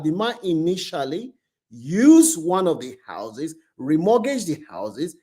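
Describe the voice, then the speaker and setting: A man's voice: on the high side, darkish tone. A man hosting and telling a story informally, speaking emphatically and repeating phrases for effect. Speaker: host and storyteller. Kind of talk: informal spoken storytelling with commentary.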